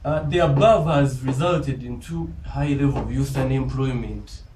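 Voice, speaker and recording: A man with a Ugandan accent giving a formal, earnest, deliberate self-introduction as a business school applicant. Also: medium pitch, a little mellow. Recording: roomy, distant mic, faint background noise.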